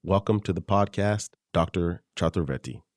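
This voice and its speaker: Man, podcast host, low voice, composed and clear, dry recording. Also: moderate pace, darkish tone, no room sound. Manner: clear, composed, reads smoothly. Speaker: podcast host, journal web editor. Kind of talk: scripted introduction and interview questions.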